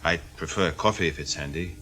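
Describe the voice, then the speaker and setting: Preoccupied German intelligence man, low-pitched, sharp tone, radio drama, terse and weary. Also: brisk. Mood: weary, distracted. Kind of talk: naturalistic radio drama acting. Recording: music faintly under the voice.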